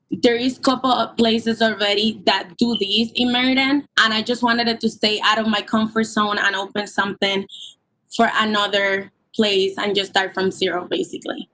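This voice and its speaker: A woman of twenty-one, explaining her business plan earnestly at a public hearing, with halting ums, over a call line. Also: a little high, sharp tone, poor audio. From Puerto Rico. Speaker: permit applicant. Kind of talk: remote public hearing testimony.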